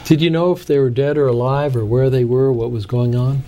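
Mellow-voiced male interviewer. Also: mid-range voice, steady tone, a little fast. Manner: engaged, summarizing, probing questions. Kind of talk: conversational interview.

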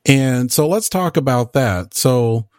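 A man's voice: mid-range voice, lively intonation, slow pace, darkish tone, no room sound. Male interview host, dry close sound, asks guiding questions. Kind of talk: podcast interview hosting.

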